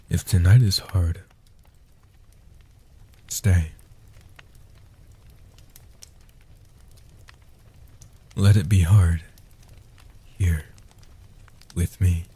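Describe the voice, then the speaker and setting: A man voicing a gentle old guardian vampire in a soft-spoken comfort roleplay, slow and soothing, with a husky, half-whispered delivery. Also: sits low, exaggerated voice. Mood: soothing, protective.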